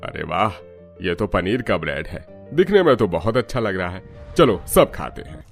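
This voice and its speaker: Man playing a low-voiced, blunt family patriarch. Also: sing-song, moderate pace, vocal fry, faint music behind, exaggerated voice. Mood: pleased. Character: frank middle-aged father-in-law, head of household. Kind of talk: Hindi cartoon story dubbing.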